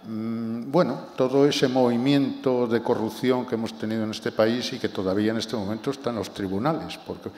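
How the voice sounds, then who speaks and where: A man who presents the event and introduces the novelist in a measured, mildly teasing way. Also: medium pitch, fairly even intonation, darkish tone. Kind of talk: introducing a speaker at a public event. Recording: echoey hall, long reverb.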